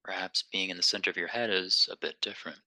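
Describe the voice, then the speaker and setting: Guy doing guided meditation, super bright crisp voice w some fry, slow n gentle, really dry close sound. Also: sits low, fairly even intonation, no echo. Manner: gentle, unhurried, pausing between phrases.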